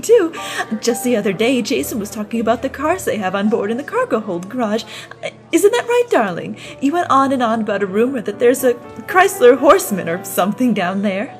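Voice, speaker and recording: A woman playing a sweet, excitable young newlywed wife in a radio play, effusive and dainty. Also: a little high. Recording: music bed under dialogue. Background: music.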